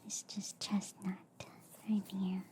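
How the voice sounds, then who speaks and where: A woman whispering softly and breathily in a soothing, playful ASMR roleplay, close to the microphone. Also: mid-range voice, monotone, a little hiss or hum. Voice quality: soft, breathy, mostly whispered. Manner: soothing, unhurried, playful reassurance. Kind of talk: soft ASMR roleplay as a friendly alien doctor.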